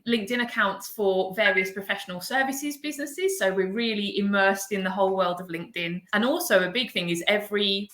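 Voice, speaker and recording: Birmingham woman host, bright tone, roomy video-call sound, friendly filler-heavy chat. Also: medium pitch. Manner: chatty, laughs often, many fillers. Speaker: host, agency director. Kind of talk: live video interview.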